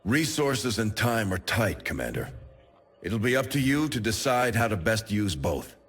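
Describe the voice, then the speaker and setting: An actor playing a businesslike, resolute military second-in-command in a video game, speaking in terse briefing style. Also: male voice, lowish voice, very animated, unhurried, a bit bright, husky, exaggerated voice. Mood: resolute, stirring. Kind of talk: video game cutscene acting. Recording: long hall reverb, music behind. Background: music.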